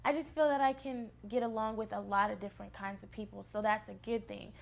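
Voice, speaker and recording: Creaky, flat-pitched young American woman. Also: quick pace. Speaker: interviewee, former foster youth. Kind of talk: candid personal interview.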